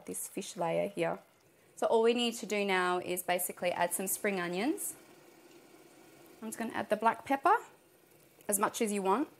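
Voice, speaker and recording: Australian woman, 30s, home cook contestant, chatty with fillers, mid-pitched, lapel mic. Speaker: cooking show contestant. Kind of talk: casual explanation while cooking. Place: home kitchen indoors, later a restaurant kitchen.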